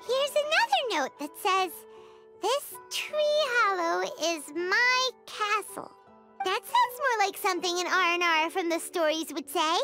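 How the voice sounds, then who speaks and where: A squeaky, childlike fairy companion in a fantasy game, blunt and excitable. Her voice sits very high and leaps around. Loud music plays under her lines. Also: measured pace. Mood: curious. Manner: bouncy, exclaiming, refers to herself in third person. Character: tiny floating female fairy companion, chirpy and blunt. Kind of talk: studio game voice acting.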